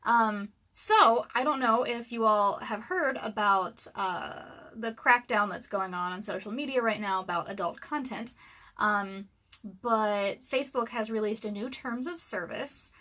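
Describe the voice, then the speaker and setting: A woman in her thirties with a scratchy voice, rambling casually on a livestream from her dark room at home, with lots of ums and little laughs. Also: fairly high pitch, a little slow. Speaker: author and livestream host. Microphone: webcam livestream.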